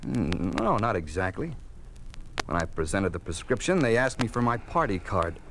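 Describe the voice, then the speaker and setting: A man's voice: fairly low pitch, very animated, moderate pace, noisy background. An American man playing a sullen, weary sick patient in a 1950s radio drama, on an old recording. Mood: bitter.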